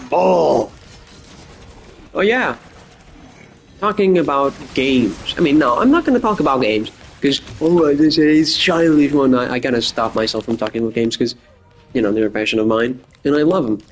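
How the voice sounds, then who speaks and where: A young man with a faint Boston accent hosts a casual solo podcast, rambling in a crude, joking way with deadpan asides. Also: mid-range voice, moderate pace. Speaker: podcast host.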